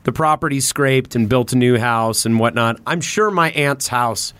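A man chatting casually on a podcast, reflective and opinionated, halting as he thinks aloud and swearing freely. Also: medium pitch, fairly bright tone, clear, resonant tone, no echo.

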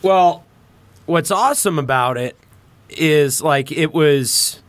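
A man's voice: on the high side, slow pace, a bit bright. A man hosting a casual podcast, chatting informally with swearing and banter. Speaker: podcast host.

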